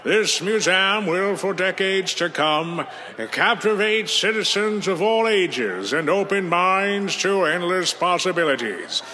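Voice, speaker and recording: Pompous mayor guy giving a speech, slow and bright, high voice. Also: animated, full character voice. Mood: grandiose. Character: pompous middle-aged male city mayor giving a speech. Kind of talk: radio drama acting.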